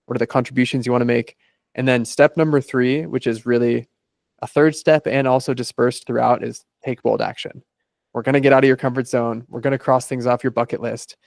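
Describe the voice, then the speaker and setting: A man's voice: medium pitch, steady tone, brisk, vocal fry, a little mellow, dry recording, clean studio sound. A man, a life coach guest on a podcast interview, methodically laying out his process in numbered steps.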